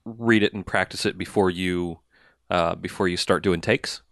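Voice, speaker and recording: Co-host, male, very low voice, jokey and emphatic, dry studio mic. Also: fairly even intonation, normal speed, a bit bright, no room sound. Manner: jokey, emphatic, repeats words for effect. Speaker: podcast co-host. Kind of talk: casual podcast chat.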